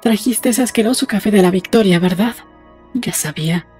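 A woman playing a brisk, proud, rebellious young lover in chatty, conspiratorial audiobook dialogue. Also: medium pitch, darkish tone, slightly rough, faint music behind, close to an everyday voice. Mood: excited, proud.

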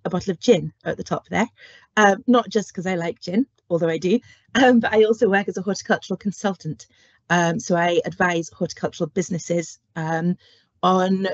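A woman lecturer with a slight cold talks through an online careers presentation, pausing often on ums. Her voice sits low and gravelly, close and dry. The sound is a little dull, like a video call. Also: dry recording. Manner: frequent ums, friendly explanatory. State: has a cold and cough. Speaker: horticulture lecturer and curriculum lead. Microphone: webcam or headset on video call.

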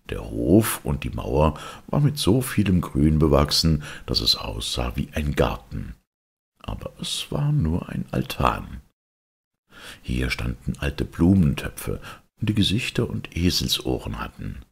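Extremely deep, gravelly male storyteller. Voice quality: gravelly, husky. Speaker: storyteller, narrator. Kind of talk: scripted fairy-tale narration.

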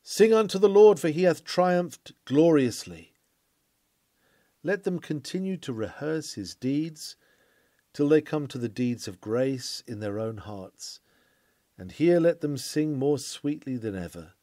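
A man reads a classic sermon in a fairly high, mellow voice. His delivery is formal and oratorical, full of earnest declamation. The recording is dry and close, with little room sound. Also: animated, a little slow, dry recording. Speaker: sermon reader.

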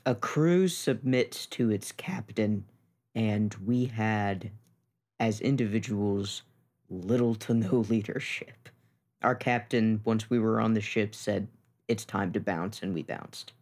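A man with a lowish, very creaky voice speaks at a slow pace. He is a tabletop role-playing player making a case to the group. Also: darkish tone. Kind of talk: arguing a point in game discussion.

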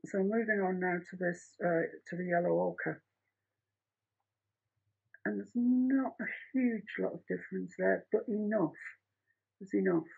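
Woman, middle-aged, husky, chatty art teacher, home studio, dull lo-fi sound. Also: mid-range voice, fairly even intonation, a little slow, pauses often. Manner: chatty, rambling, practical. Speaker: painting teacher. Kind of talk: painting tutorial. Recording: muffled lo-fi home recording.

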